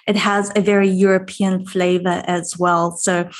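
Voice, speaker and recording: A woman's voice: on the low side, little pitch movement, unhurried. Interview guest: woman, 30s, South African accent, enthusiastic storyteller. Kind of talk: conversational interview.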